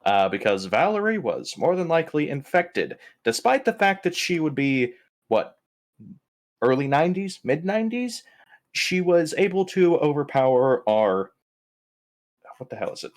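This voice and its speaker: A man's voice: a little high, big pitch swings, moderate pace. A man bantering casually during a tabletop roleplaying game session.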